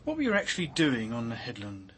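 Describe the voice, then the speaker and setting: An actor playing a gruff, sardonic male police inspector in a radio drama, speaking in a blunt, wry, clipped way. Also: medium pitch, wildly swooping pitch, fast talker, a bit bright, a little hiss or hum. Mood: probing, wry. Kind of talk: acted British radio drama dialogue.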